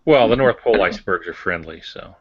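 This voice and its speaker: Dull-sounding, low-voiced man, halting and explanatory. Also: animated, husky, no echo. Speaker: fiction writer, podcast panelist. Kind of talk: casual talk on writing craft.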